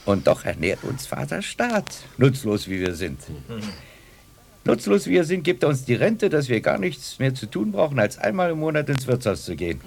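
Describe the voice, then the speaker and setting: An actor playing a wry, talkative old coachman pensioner with a creaky voice in a radio drama. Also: mid-range voice, exaggerated voice. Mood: rueful, resigned. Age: older. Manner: animated storytelling with chuckles and rueful pauses. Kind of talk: 1980s German radio drama acting.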